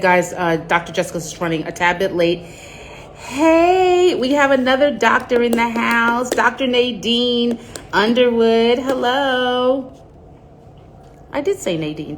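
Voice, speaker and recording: A woman's voice: mid-range voice, slight background noise. A woman in her forties with a nasal voice, hosting a live stream in chatty, rambling talk and greeting viewers by name. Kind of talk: casual live-stream chat.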